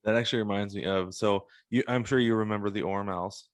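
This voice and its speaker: A man's voice: fairly low pitch, steady tone, fast, no room sound, clean studio sound. A man speaking as a podcast host and interviewer in casual chat.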